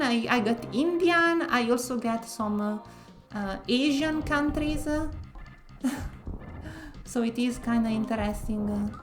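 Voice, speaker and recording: A woman from northern Italy with an Italian accent, speaking English as a second language, chatting casually while streaming a game and often pausing to search for words. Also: a little high. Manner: soft-spoken, searching for words with many pauses. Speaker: variety streamer. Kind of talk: casual gameplay commentary.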